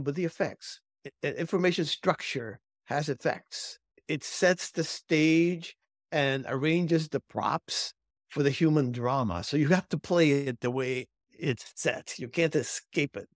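A man's voice: on the high side, no room sound, clean studio sound. An older man from Cuba, a native Spanish speaker, talking reflectively as an interview guest in an emphatic way. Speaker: interview guest, former intelligence analyst. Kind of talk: conversational interview, reflective storytelling.

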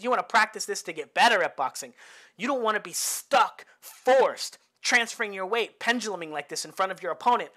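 Bay area guy coaching over fight tape, casual and talky, bright edgy voice. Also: sits high. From Bay Area, United States. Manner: chatty, tags many lines with "right?", laughs at own jokes. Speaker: boxing coach. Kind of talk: casual fight-film breakdown.

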